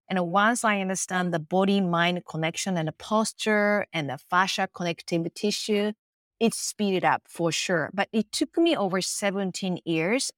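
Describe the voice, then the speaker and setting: A woman's voice: lowish voice, dry recording, studio quality. A middle-aged woman with a Japanese accent, a face yoga teacher answering interview questions in an earnest, reflective way. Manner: earnest, reflective storytelling with acted quotes.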